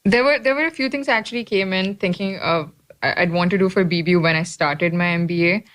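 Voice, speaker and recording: A woman's voice: fairly low pitch, fast talker, fairly bright tone. A young woman, an MBA student co-hosting a podcast, who lays out her ideas point by point in a thoughtful, casual way.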